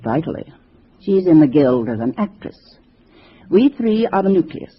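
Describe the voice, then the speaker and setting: A woman's voice: deep voice, very animated, normal speed, slightly rough, heavily acted. An actress playing an older, calculating female communist organizer in a 1950s radio drama, precise, didactic and assured. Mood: commanding. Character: gray-haired female communist organizer, sweet-faced, calculating, commanding. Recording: muffled vintage radio recording, faint hiss.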